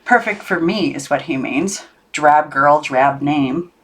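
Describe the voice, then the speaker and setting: A woman's voice: low-pitched, slow, slightly rough, voice pushed down, full character voice. A woman voicing a sullen, blunt twelve-year-old girl in a children's storybook, with terse, unimpressed delivery. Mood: guarded, sour. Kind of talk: children's storybook character reading.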